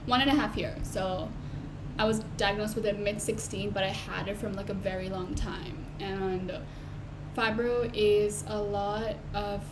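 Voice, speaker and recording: An eighteen-year-old woman talks off the cuff to her camera at an ordinary middle pitch. She rambles and restarts, leaning on "like" and "um." Clear background noise runs under the recording. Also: steady tone, unhurried. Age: late teens. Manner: rambling, many "like" and "um" fillers, restarts. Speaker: vlogger. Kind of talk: unscripted casual talk to camera. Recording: noisy home recording.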